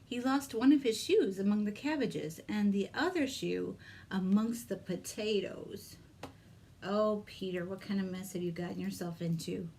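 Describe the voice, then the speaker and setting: Slow-paced woman reading to children. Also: medium pitch, slight background noise. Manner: animated, exclaiming, addresses young children with questions. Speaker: children's librarian and storytime host. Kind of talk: expressive storybook read-aloud for children.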